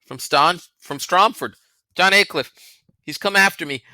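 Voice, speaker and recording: A man's voice: high voice, measured pace, bright, forceful tone, no echo, voice pushed up, heavily acted, poor audio. A man voicing a frightened, earnest young boy in an audiobook, anxious and pleading. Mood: frightened rush. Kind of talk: audiobook character voice.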